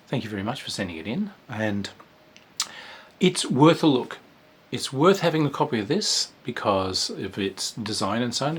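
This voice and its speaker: A man's voice: medium pitch, fairly bright tone, slightly rough. A man giving a casual spoken review of a tabletop game, rambling and hesitant, with frequent fillers and dry asides.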